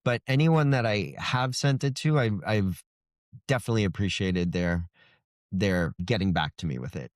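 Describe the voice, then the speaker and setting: A man's voice: fairly low pitch, expressive, moderate pace, dry recording, clean studio sound. A man speaking as an author guest in a casual interview, earnest and reflective. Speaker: guest, indie fantasy author.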